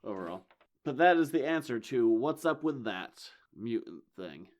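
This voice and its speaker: A man hosting a casual comics podcast, hoarse from losing his voice, with dry wisecracks. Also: medium pitch, sing-song, normal speed, a little mellow. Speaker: podcast host. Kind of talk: casual chat.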